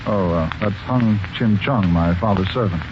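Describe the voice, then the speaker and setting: An actor playing a well-bred man in his thirties, with measured, formal speech in 1940s radio mystery style. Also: lowish voice, natural voice. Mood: polite, subdued. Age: 30s. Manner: courteous, measured, hesitant. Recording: noisy dull 1940s radio recording.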